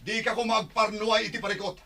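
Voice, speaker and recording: A man's voice: high-pitched, level tone, brisk, creaky, bright, forceful tone, heavily acted. A man playing an earnest hero trying to rescue his beloved in a radio drama. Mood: urgent pleading.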